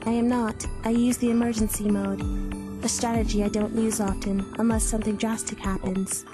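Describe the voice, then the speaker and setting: A woman playing an emotionless teenage alien girl in an anime fan dub, speaking in a formal, deadpan way. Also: on the high side, moderate pace, music in the background, close to an everyday voice. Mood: flat, detached. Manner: flat, deadpan, formal technical phrasing.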